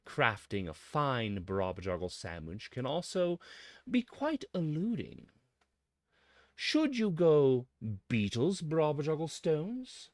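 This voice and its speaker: A man delivering a scripted mock-scholarly monologue in a pompous, deliberate manner with dramatic emphasis. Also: mid-range voice, no room sound, clean studio sound.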